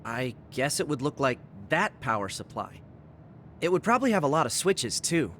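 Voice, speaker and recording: A man's voice: medium pitch, sing-song, moderate pace, a bit bright, slight background noise. A man playing an earnest, slightly timid, analytical young teammate in an anime game dub. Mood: thoughtful. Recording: music behind the voice.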